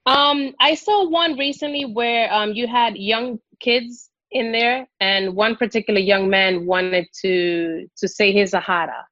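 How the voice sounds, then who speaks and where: A woman from the Dominican Republic speaks in a halting, searching way, often restarting her sentences. Her voice sits at an ordinary pitch and sounds dry and a little dull, as if from a modest recording. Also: fairly even intonation, a bit bright, no echo. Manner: hesitant, many fillers and restarts. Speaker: guest exploring Islam. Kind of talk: hesitant personal conversation.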